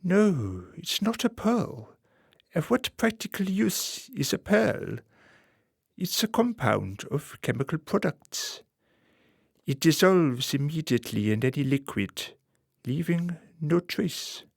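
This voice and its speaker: A man acting a suave, calculating political fixer in a radio play, speaking formally and deliberately with quiet menace. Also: fairly high pitch, very animated, gravelly, breathy edge, dry recording, clean studio sound, full character voice. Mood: cold, instructing. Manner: formal, measured, deliberate phrasing.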